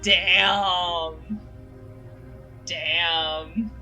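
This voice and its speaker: A young woman streaming a game, chatty and playful, reacting quickly to the story. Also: lowish voice, fairly even intonation, pushed and bright. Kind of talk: live game commentary. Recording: voice with game music behind.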